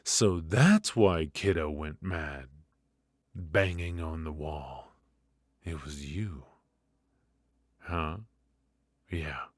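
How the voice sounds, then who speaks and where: Guy doing a smug menacing mafia boss for asmr roleplay, super deep voice, close dry sound, theatrical taunting. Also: no room sound, heavily acted. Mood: menacing, amused. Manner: slow, deliberate, theatrical, mock-affectionate taunting. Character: ruthless, brilliant male mafia boss, smugly menacing interrogator. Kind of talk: ASMR roleplay, noir audio drama acting.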